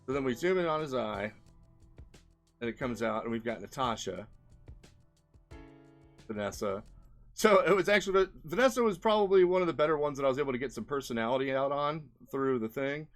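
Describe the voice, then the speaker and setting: A man's voice: medium pitch, expressive, a little fast, pauses often, faint music behind. A man giving casual commentary as an indie game developer, rambling and thinking aloud as he goes. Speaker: indie game developer presenting his experiments. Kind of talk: casual screen-share commentary.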